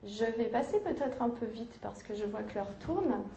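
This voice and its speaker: A woman giving an academic conference lecture, measured, with hesitations and self-corrections. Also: a little high, lively intonation, vocal fry, warm tone, slight background noise. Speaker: university lecturer. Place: lecture hall. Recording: echoey lecture hall.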